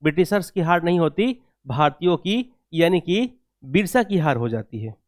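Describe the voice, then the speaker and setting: A man's voice: on the high side, lively intonation, normal speed, dry recording, studio quality. A man teaching history for exam coaching, lecturing didactically, repeating key phrases and asking rhetorical check questions. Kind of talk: classroom lecture with dictated answer points.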